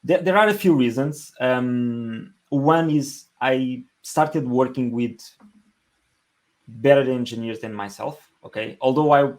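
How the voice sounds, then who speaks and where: A man from Portugal with a Portuguese accent, giving conversational interview answers as a podcast guest, halting and repeating words as he goes. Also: medium pitch. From Portugal, Lisbon area. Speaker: podcast guest, engineering manager.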